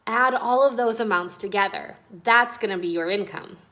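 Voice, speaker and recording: A woman reading a children's story aloud in an expressive, deliberate, instructional way. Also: medium pitch. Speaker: read-aloud narrator.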